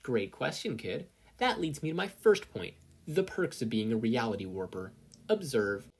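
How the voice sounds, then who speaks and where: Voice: mid-range voice, expressive, normal speed, slightly rough. A narrator voicing a confident, breezy adult woman who mentors a young hero in a casual, self-assured way.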